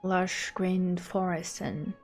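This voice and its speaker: A woman's voice: on the low side, steady tone, slow, darkish tone, soft background music. A woman livestreamer giving casual commentary on a game, rambling informally as she thinks aloud. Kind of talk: casual commentary over gameplay.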